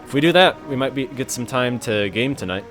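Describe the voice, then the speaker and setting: A man's voice: mid-range voice, expressive, brisk, fairly bright tone, faint music behind, close to an everyday voice. A man playing a laid-back, wisecracking student gamer in a casual amateur audio drama. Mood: easygoing banter. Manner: casual, joking.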